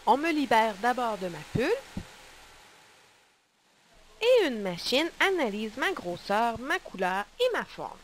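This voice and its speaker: A woman's voice: a little high, big pitch swings, takes it slowly, long pauses, a little hiss or hum, rough recording. A woman voicing a chatty coffee bean. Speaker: narrator. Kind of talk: amateur storybook voice acting.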